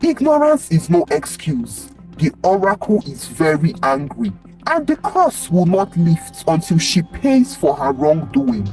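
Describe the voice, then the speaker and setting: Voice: mid-range voice, sing-song, unhurried, few pauses, a little mellow, distant mic, soft background music, low-quality recording. A storyteller voicing a stern, solemn male village chief priest who makes grave, scolding pronouncements.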